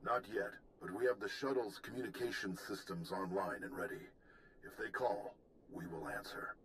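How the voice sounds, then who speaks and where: Man acting old Jedi master, video game, low voice, calm, thoughtful, courteous, noisy ambient background. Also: natural voice. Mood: focused, calm. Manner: measured, courteous, deliberate.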